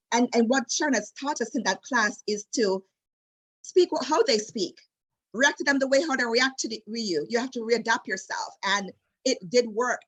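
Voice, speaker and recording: A woman in her late forties with a Jamaican accent, a wedding travel specialist chatting as an interview guest in a lively storytelling way, with many fillers and restarts. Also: on the high side, slightly rough, low-quality recording. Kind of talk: casual interview chat.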